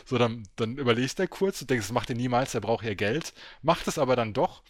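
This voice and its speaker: A man's voice: mid-range voice, animated, brisk, no room sound, studio quality. A man talking in a casual, colloquial and slangy way, like a podcast co-host recommending something.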